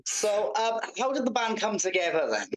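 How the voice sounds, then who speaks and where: A man's voice: high-pitched, expressive, moderate pace, poor audio. A man hosting a casual band interview as the interviewer.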